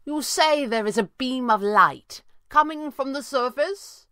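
A woman's voice: low-pitched, animated, slow pace, creaky, sharp tone, no echo, studio quality, heavily acted. A woman voicing a scheming, short-tempered male leader of thieves, commanding and emphatic, in an adventure story.

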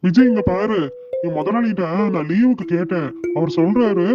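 A man's voice: high-pitched, moderate pace, close to an everyday voice. A man voicing an anxious, put-upon son and husband in a comedy cartoon. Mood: earnest. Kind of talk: Tamil comedy cartoon voice acting. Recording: dull lo-fi sound, loud music behind.

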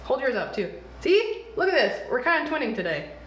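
A woman's voice: high voice, sing-song, fairly quick. A woman in her early twenties chatting casually and describing her makeup as she applies it, filmed seated indoors on a camera in front of her. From United States, raised mostly in the South. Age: early 20s. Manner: chatty, explains products as she applies them. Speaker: beauty vlogger host. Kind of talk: casual chat while doing makeup. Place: small indoor room, seated side by side. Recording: roomy indoor sound.

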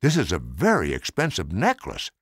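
A kind storybook orphanage lady, read by an older man, gentle and polite in manner. The delivery has wide swings of pitch and a dry, close sound. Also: wildly swooping pitch, moderate pace, husky, no echo, full character voice. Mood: grateful. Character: kind, gracious woman running an orphanage, storybook.

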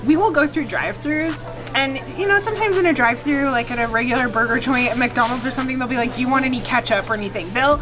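A woman's voice: high voice, fast talker, few pauses. A woman in her thirties chatting enthusiastically to camera as a food vlogger, recorded close in a small restaurant booth. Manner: chatty, enthusiastic, lots of fillers. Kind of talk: casual chat to camera. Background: background music.

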